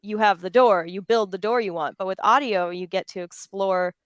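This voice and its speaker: A woman's voice: medium pitch, normal speed, no room sound. A woman moderating a panel conversationally, articulate and affirming as she talks.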